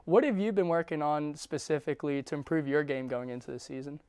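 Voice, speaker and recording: Young male studio host, smooth fluent delivery, very clear tone. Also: on the high side, fast talker, a little mellow, no room sound. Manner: fluent, polished presenter delivery. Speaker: student TV sports show host. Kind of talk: scripted interview questions. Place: studio set.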